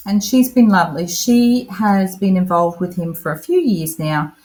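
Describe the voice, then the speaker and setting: A woman's voice: on the low side, a little mellow, low-quality recording. A woman in her thirties or forties, a craft vlogger, chatting casually through a voiceover as she works. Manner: chatty, addresses viewers as "lovelies".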